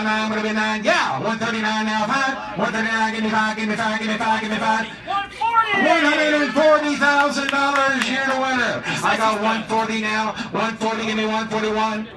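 An American man calls an auction in a high-pitched, rhythmic chant, repeating bids and prodding bidders. He is outdoors and heard from a distance, with echo on his voice and a crowd around him. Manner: repetitive, rhythmic bid calling, pushing bidders. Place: outdoors on a marina dock. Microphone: picked up by a distant camera.

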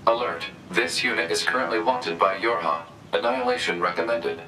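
A man's voice: low voice, fairly quick, bright, forceful tone. A man voicing an emotionless military support robot in a video game, clipped and mechanical. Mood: impassive. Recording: echoey, distant, music behind.

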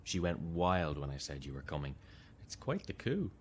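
An actor playing an eager, chummy, subtly creepy young fan in a radio drama, with an upbeat, coaxing manner. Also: male voice, very deep voice, sing-song, normal speed, slight background noise. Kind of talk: naturalistic radio drama acting.

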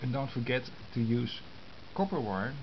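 Male tutorial presenter, informal and halting delivery, dull camera audio with clear hiss. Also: mid-range voice, animated. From Netherlands. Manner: halting, short phrases with pauses. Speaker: amateur radio hobbyist giving a tutorial. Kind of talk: informal step-by-step explanation of hand-drawn diagrams. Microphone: compact camera's built-in microphone. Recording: muffled camera microphone with hiss.

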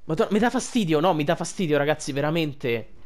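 A man's voice: on the high side, lively intonation, fast talker. A young adult man giving casual, colloquial, emotionally invested game commentary, with some swearing. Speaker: game streamer.

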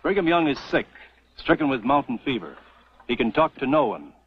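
A devout earnest pioneer. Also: male voice, medium pitch, big pitch swings, fairly quick, creaky, breathy edge, full character voice. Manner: earnest, faithful. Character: devout middle-aged male pioneer believer. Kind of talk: 1950s TV western acting. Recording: dull old TV soundtrack with music.

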